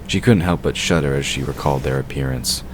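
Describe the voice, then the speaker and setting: Very deep male narrator, noisy recording. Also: fast. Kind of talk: scripted fantasy story narration.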